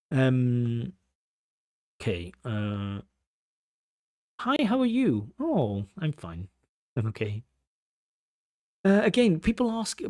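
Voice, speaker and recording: A man's voice: mid-range voice, darkish tone. A middle-aged man, an IELTS examiner, answering viewer questions on a livestream with direct, blunt advice and rhetorical questions. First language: English.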